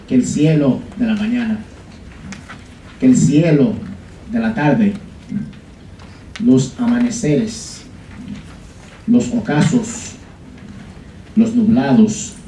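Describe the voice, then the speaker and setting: A young man reading a poem aloud in a slow, measured recital at a college podium. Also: medium pitch, mellow, slight background noise. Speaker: student reader. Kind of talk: dramatic poetry reading in Spanish. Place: college lecture room. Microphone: podium mic from a far camera. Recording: echoey room, mic far off.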